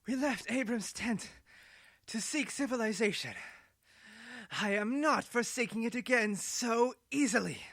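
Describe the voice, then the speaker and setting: A man's voice: very high-pitched, unhurried, creaky, a bit bright, dry recording, pitched above the performer's own voice, heavily acted. A proud, bitter woman, scornful and defiant, as a male narrator voices her. The voice is raised well above his own. It sounds close and dry. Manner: scornful, exasperated.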